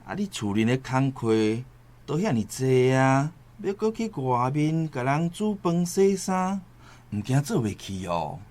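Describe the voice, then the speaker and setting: Concerned Taiwanese husband, animated pitch. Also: male voice, unhurried. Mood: worried doubt. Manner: questioning, concerned. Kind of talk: radio drama acting.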